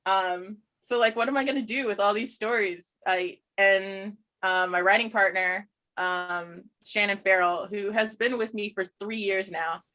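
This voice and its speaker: A woman chatting casually as a podcast guest, telling anecdotes in an animated, informal way and acting out bits of dialogue. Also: mid-range voice, measured pace, few pauses. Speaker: podcast guest, writer and show creator.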